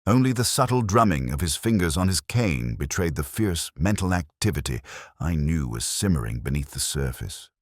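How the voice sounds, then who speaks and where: A man reading a scripted detective story as narrator, in a measured, literary storytelling manner. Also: extremely low pitch, big pitch swings, no echo, clean studio sound.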